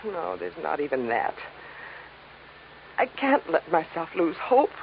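A woman's voice: on the low side, big pitch swings, takes it slowly, gravelly, exaggerated voice. An actress playing a troubled, anxious woman pleading for her missing brother in an old radio drama. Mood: distressed. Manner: pleading, emotional. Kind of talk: 1950s radio drama acting. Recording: muffled old radio recording, faint hiss.

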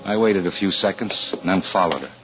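Low male American, anxious, suspicious husband narrator, deliberate 1940s radio suspense style, dulled vintage recording. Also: lo-fi sound, over music. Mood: grim, tense.

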